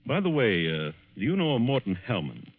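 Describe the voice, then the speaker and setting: On a narrow, tinny vintage radio recording, an unflappable police lieutenant. He questions in a clipped, businesslike way, with a mid-range voice and lively intonation, in 1940s radio drama style. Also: mid-range voice, fairly quick, husky, exaggerated voice. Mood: probing, matter-of-fact.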